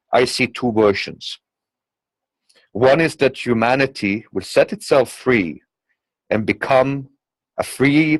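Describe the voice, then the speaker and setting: A man with a non-native English accent speaks at a medium pitch in a very dry recording. He delivers a blunt, emphatic monologue in the manner of a political commentator. His phrasing rambles and circles back, punctuated by small tags like okay and you know. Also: no echo, rough recording. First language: not English.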